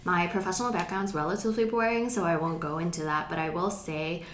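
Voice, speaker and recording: Measured young woman podcast host. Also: lowish voice, fairly even intonation. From Hong Kong. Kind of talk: scripted podcast narration. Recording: echoey room with faint noise.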